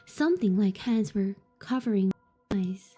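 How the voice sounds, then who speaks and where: A woman's voice: medium pitch, lively intonation, normal speed, mellow, soft background music. A woman streaming a horror visual novel, reading the on-screen text aloud between giggles and startled swearing. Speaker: streamer playing a horror game.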